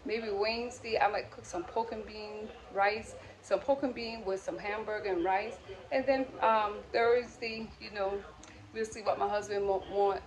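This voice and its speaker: A woman's voice: mid-range voice, steady tone, a little slow, rough recording. A middle-aged woman, chatting casually and folksily to her viewers as a lifestyle vlogger. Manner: folksy, addresses viewers as friends and y'all. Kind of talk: casual motivational chat to viewers. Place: home kitchen, indoors.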